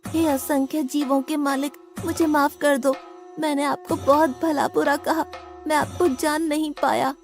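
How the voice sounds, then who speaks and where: A woman's voice: sits high, fairly even intonation, measured pace, soft background music. A woman voicing a naive, blunt young male devotee in an acted Hindi devotional story. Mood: repentant.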